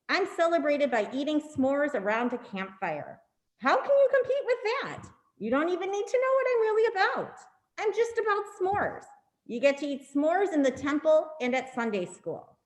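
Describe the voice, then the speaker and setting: A woman with a high, very lively voice reads a comic script in an echoey room. She plays a boastful, popular holiday with playful showing off. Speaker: performer in a congregation's reader's theater. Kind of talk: amateur comic scripted monologue.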